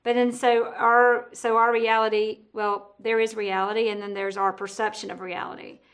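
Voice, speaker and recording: A woman hosting a casual podcast interview, talkative and thinking out loud, often correcting herself as she goes. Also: fairly high pitch, level tone, normal speed.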